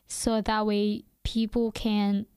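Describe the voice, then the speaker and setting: A young woman with a non-native English accent, hosting a radio show and talking through her thoughts in a relaxed way, hesitating often with fillers and restarts. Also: medium pitch, slow pace, smooth, no echo, clean studio sound. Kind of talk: reflective casual monologue.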